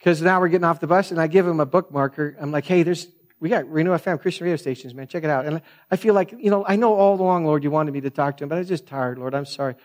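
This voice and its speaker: A man preaches with a soft, unbooming voice that sits a little high for a man. He talks in an earnest, conversational way, circling back and restarting as he tells his story. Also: fast talker. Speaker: pastor. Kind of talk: sermon.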